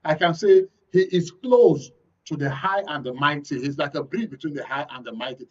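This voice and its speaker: A Nigerian man moderates a political talk show in a slightly high voice. He questions and introduces guests as host. The sound is somewhat dull and distant from the microphone. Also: normal speed. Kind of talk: moderating a political radio and TV discussion.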